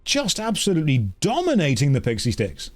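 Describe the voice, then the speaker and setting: A man's voice: fairly high pitch, huge pitch swings, a little fast, soft background music. Wry British man streaming, jokey. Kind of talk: casual livestream chat running chat polls.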